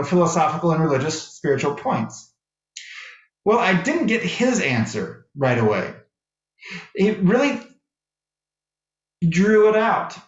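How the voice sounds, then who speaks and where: A middle-aged American man giving a sermon as a minister, telling personal stories deliberately, phrase by phrase. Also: high voice. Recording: roomy, echoey, slightly dull sound.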